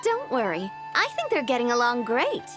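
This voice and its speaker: A woman's voice: fairly high pitch, wildly swooping pitch, moderate pace, loud music behind the voice. A woman playing a cheerful, playful, theatrical young woman in a video game, upbeat in her delivery.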